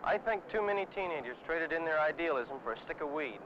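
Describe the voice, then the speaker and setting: Young man, fairly high voice, earnest opinion, muffled film, clear street noise. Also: lively intonation, quick pace. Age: teens or young adult. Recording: noisy dull outdoor old film.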